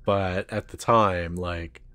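A man co-hosting a podcast, casually discussing films with a musing, halting way of phrasing things. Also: low-pitched, a bit bright, no room sound. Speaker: podcast co-host.

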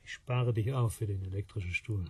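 An actor in a radio play voicing an exhausted, disgusted man who speaks in terse, curt commands with a rough voice. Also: lowish voice, fairly quick, mellow. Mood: tense, commanding. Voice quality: hoarse, rough.

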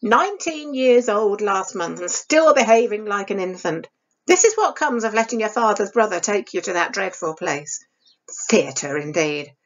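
A woman's voice: medium pitch, highs cut off, close to an everyday voice. A woman voicing a stern, bitter stepmother in a storybook reading, harsh, reproachful and emphatic.

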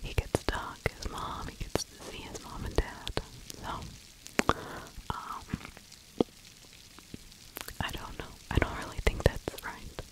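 A middle-aged woman whispering breathy true crime commentary in ASMR style, close to the microphone in halting phrases. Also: rough recording. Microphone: fluffy-covered microphone right at the mouth.

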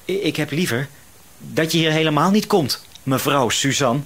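An actor playing a paranoid, quietly menacing male shopkeeper in a Dutch radio drama, hesitant yet insistent. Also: fairly high pitch, lively intonation. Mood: accusing. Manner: hesitant, insistent, suspicious, drawn-out words.

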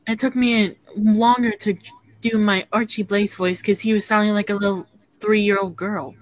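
A woman's voice: mid-range voice, steady tone, normal speed, a little hiss or hum, poor audio. A woman in casual online banter, blunt and teasing. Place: online group voice stream.

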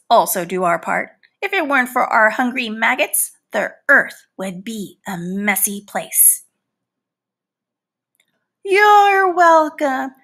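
A woman's voice: fairly high pitch, bright, forceful tone, heavily acted. A woman voicing a proud, easily hurt housefly in a storybook, with theatrical, pleading and boastful delivery. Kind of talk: storybook read-aloud in character.

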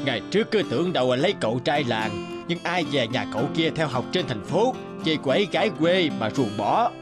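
A man's voice: fairly high pitch, sing-song, a little fast, music in the background. A man playing a gossipy elderly villager in a cartoon, with a blunt, folksy, chatty manner. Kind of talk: dubbed cartoon acting.

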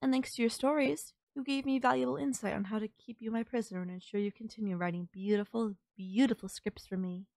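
Woman acting as obsessed female captor, creaky voice, dry close sound, teasing, controlling, audio drama. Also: on the high side, fairly even intonation, fast talker, hardly any pauses, darkish tone, dry recording. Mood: gloating, possessive. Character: sultry, possessive, gloating female kidnapper obsessed with her captive. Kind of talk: intimate roleplay audio drama.

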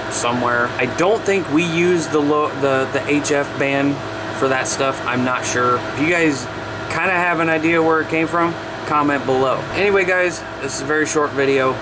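A man in his thirties hosting a casual radio-hobby vlog to a close webcam in a garage workshop, talking in a loose, chatty way. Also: on the high side. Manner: chatty, loose, self-correcting. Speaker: CB radio channel host. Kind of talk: casual vlog talk to camera. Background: air conditioning hum.

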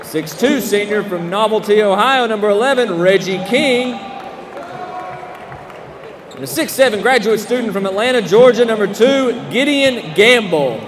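Young American man, very high voice, sports announcer, showman delivery, courtside desk mic, loud crowd behind. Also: expressive. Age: young adult. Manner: booming showman delivery with drawn-out names. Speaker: public address announcer. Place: courtside in an indoor basketball arena. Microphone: desk microphone on a short stand. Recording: echoing arena PA with loud crowd noise. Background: crowd noise and arena ambience.

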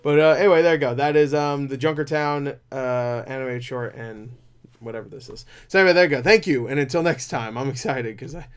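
A man's voice: mid-range voice, brisk, clean tone. A man in his twenties giving casual, rambling, sweary reaction commentary as a video host. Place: small room at home.

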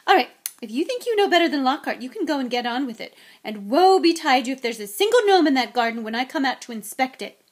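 A woman voicing a bossy mother witch in an audiobook, sharp and exclaiming, scolding and fussing fondly. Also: fairly high pitch, expressive, a little fast. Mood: indignant. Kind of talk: audiobook character acting.

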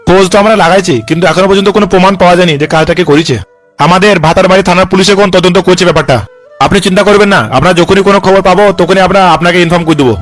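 A man's voice: high-pitched, fairly even intonation, very fast, fairly bright tone, faint music behind. A man voicing a corrupt, cocky police officer in a comic cartoon, coarse and glibly reassuring. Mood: placating. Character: corrupt, cocky, foul-mouthed male police officer, slippery. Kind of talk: comic cartoon dub dialogue.

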